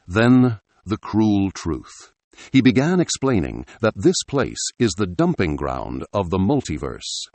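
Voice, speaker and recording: A man's voice: on the low side, very animated, takes it slowly, a little mellow, no echo. A man reading a scripted story as narrator, in a dramatic storytelling style.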